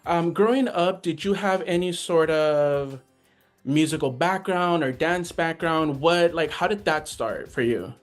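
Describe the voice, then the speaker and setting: A man hosts a casual interview, his voice a touch higher than most men's. He circles around his questions, pausing and restarting before he gets to the point. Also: soft background music. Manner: hesitant, rambling questions with fillers. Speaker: interview host.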